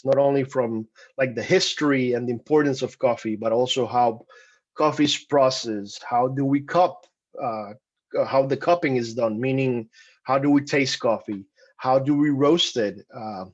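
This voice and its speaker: A man from Costa Rica presenting a webinar, conversational and halting with frequent restarts. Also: mid-range voice, highs cut off. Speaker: webinar presenter, program coordinator.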